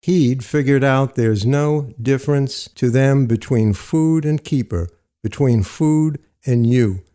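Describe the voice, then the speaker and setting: A man reads literary fiction with a mellow, relaxed tone. His pitch sits in a middle male range, and his delivery is measured and deliberate, like careful audiobook storytelling. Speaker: narrator.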